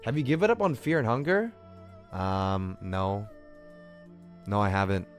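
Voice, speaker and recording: Animated young man chatting on a livestream. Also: on the low side, unhurried. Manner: slangy, swearing, rambling, repeats "like". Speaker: game streamer. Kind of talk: casual livestream chat while gaming. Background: game music.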